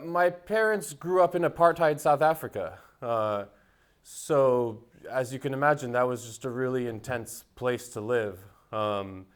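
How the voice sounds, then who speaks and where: A man raised in the United States answers interview questions in a thoughtful, unhurried way, with many fillers and restarts. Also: lowish voice, smooth. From United States (Seattle). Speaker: interviewee, musician.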